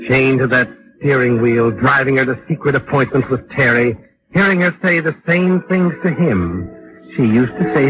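An actor playing an ominous, knowing storyteller who taunts the culprit in dramatic, deliberate 1940s radio suspense narration. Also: male voice, mid-range voice, lightly acted. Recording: dull vintage radio with loud music.

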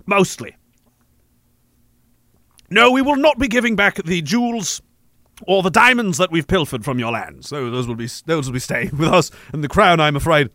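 A man's voice: fairly high pitch, animated, moderate pace, pauses often, pushed and bright, no room sound, clean studio sound, pitched below the performer's own voice. A man putting on a pompous posh British imperial officer. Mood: smug, regretful. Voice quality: plummy, formal and haughty.

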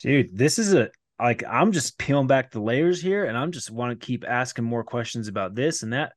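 A man's voice: mid-range voice, animated, normal speed, no echo, studio quality. A man hosting a podcast in a loose, playful, teasing way. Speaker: podcast host. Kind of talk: casual podcast chat.

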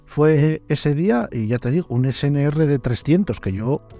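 A man's voice: fairly high pitch, lively intonation, moderate pace, warm tone, faint music behind. A man with a northern Spanish accent hosting a podcast in casual, colloquial, slangy interview chat. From northern Spain. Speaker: podcast host.

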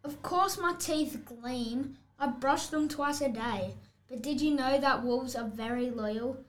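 A schoolboy of about eleven voicing a vain, indignant storybook wolf who protests and cuts in, in a scripted audiobook style. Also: male voice, very high voice, slow pace. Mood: boastful, huffy. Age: child, about 11 to 12. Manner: protesting, exclamatory, interrupting the narrator. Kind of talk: scripted picture-book audiobook acting.

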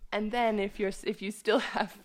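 A woman co-hosting a podcast over a video call, talking in a thoughtful, measured way. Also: mid-range voice, steady tone. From USA. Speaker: podcast co-host. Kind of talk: casual chat. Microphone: video call mic.

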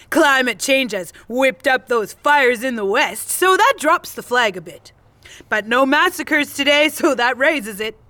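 A woman's voice: high voice, sharp tone, dry recording, heavily acted. An actress playing an indignant, sardonic woman in a naturalistic audio drama, speaking bluntly and challengingly.

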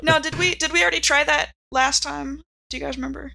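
A woman chatting casually as a player in a tabletop role-playing game, animated and talking in loose, filler-heavy sentences as she describes her character. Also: sits high, fast, gravelly. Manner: animated, giggly, frequent "like".

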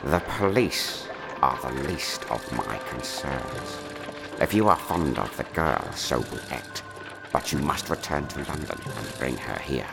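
A man playing a shrewd, commanding Victorian gentleman detective in a fiction podcast, speaking in a formal, ornate, deliberate way. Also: very deep voice, lively intonation, vocal fry, over loud music, full character voice. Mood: cold, commanding.

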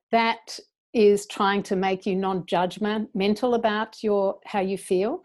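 Earnest woman explaining, mid-pitched voice. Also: darkish tone. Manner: earnest, passionate. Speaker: educator, interview guest. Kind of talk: explanatory interview talk.

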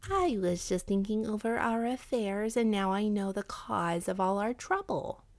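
A woman's voice: expressive, unhurried, a little mellow, no echo. A woman voicing Whitehead, a clever scheming cat. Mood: confident. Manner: confident, explanatory, slightly raised pitch. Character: clever scheming male cat, calm and sure.

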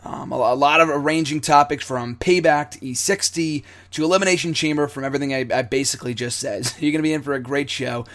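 A hoarse young man hosting a casual wrestling podcast, excitable and rambling. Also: a little high, fast talker, few pauses. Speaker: podcast host.

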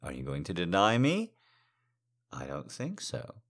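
A man playing a silver-tongued, adoring lover in an intimate erotic audio roleplay, teasing and gently commanding in drawn-out, breathy phrases. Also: deep voice, huge pitch swings, full character voice. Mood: adoring, seductive. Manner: flowery, seductive, drawn-out phrasing with breathy whispers.

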